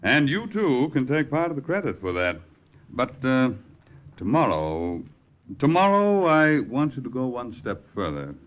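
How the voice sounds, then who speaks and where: A man's voice: medium pitch, big pitch swings, unhurried, dull audio. A man playing an authoritative American army colonel in a 1950s radio drama. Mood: businesslike. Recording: faintly noisy old radio broadcast.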